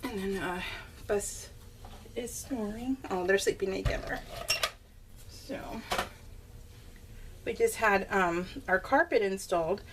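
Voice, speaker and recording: A middle-aged woman talks through her crafts in a casual, unscripted vlog style. Her voice sits at an ordinary pitch for a woman, and her manner is chatty, giggly and rambling. There is faint noise behind her, as in a simple home recording. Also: slow, long pauses. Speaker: craft vlogger presenting her scrapbook layouts. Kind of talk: casual, unscripted chat to viewers while showing a scrapbook.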